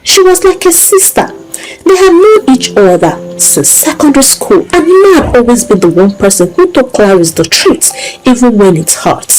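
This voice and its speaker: Expressive woman storyteller with lively pitch. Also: moderate pace, poor audio. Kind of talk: dramatic scripted story narration. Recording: music behind the voice. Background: music.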